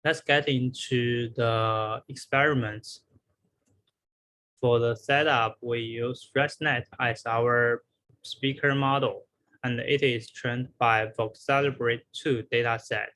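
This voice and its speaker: A man giving a scripted academic research presentation in a halting way, with repeated words and pauses. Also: medium pitch, fairly even intonation, pushed and bright, poor audio.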